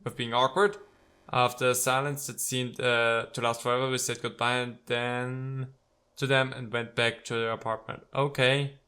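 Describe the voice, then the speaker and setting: A male let's player with a bright, forceful voice at an average pitch. He reads visual novel text aloud and drops in playful, wry asides. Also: level tone, normal speed, clear, resonant tone.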